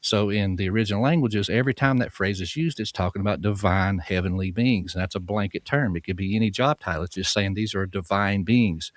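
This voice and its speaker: A man's voice: fairly low pitch, a little fast, few pauses, dry recording. A man with a Southern American accent, a guest author explaining at length in a folksy, earnest way on a livestream. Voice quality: soothing. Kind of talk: livestream conversation.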